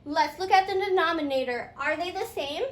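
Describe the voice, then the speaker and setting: A woman's voice: very high-pitched, brisk. A woman teaching a playful fairytale math lesson step by step, encouraging her pupil with sing-song questions and repeated answers. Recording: roomy echo, faint background noise.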